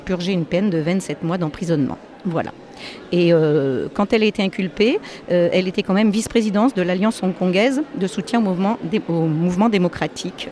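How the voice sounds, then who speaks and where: A woman's voice: fairly low pitch, a little mellow. A woman who volunteers for a human rights group, earnestly explaining a case step by step. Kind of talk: informative explanation. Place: busy public hall.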